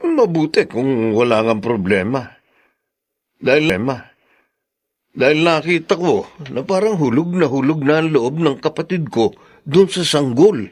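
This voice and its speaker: A man's voice: on the high side, animated, unhurried. A man acting a polite, cautious grown son in a Filipino radio drama, with a Filipino accent. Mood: concerned.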